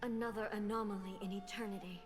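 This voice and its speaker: An actress playing a stern immortal female shogun in a video game, speaking formally and with measured command. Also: mid-range voice, fairly even intonation, over music, close to an everyday voice. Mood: solemn, commanding. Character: stern, all-powerful immortal female shogun ruler, cold and imperious.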